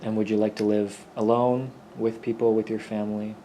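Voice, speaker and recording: A man's voice: lowish voice, little pitch movement, brisk, warm tone, slight background noise, rough recording. A man acting as interviewer, speaking plainly as he puts questions to people.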